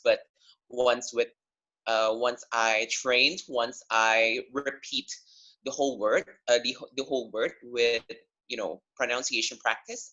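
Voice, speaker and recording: Young guy w indonesian accent doing a webinar talk, bright voice, kinda dull lo-fi call audio, lots of restarts. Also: mid-range voice. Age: 20s. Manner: earnest, with frequent restarts and "you know". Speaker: webinar speaker. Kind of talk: presentation over a video call.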